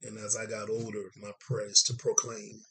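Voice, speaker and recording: A man from Dallas, Texas, hosts a relaxed podcast conversation in a hoarse, gravelly voice with a bright, forward edge. He speaks reflectively, pausing often to find his words. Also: lowish voice, a little hiss or hum, low-quality recording. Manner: reflective, searching for words with frequent pauses. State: hoarse from congestion. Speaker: podcast host. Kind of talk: casual conversation.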